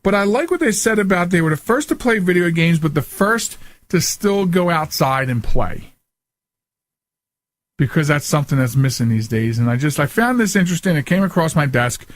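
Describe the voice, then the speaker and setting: A middle-aged man hosting a casual radio talk show, speaking informally with loose, halting asides into a close microphone. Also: a little high, lively intonation. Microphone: close studio microphone.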